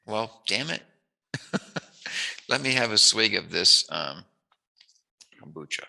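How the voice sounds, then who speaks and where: A man's voice: fairly low pitch, expressive, slow, gravelly, bright, forceful tone, low-quality recording. A man hosting a casual chat interview, talking in a playful, jokey, musing way. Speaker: interviewer, host.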